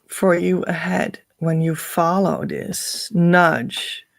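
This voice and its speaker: A woman with a Dutch accent, a spiritual coach talking slowly and loosely to her viewers, with fillers and laughs. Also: lowish voice, creaky, no room sound. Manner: slow, meandering, with frequent laughs and fillers. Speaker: twin flame coach.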